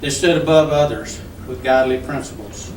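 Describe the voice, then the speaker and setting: Earnest pastor praying in a hall. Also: male voice, medium pitch, normal speed, a little hiss or hum. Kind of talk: spoken prayer. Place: community center hall. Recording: echoey hall from far off.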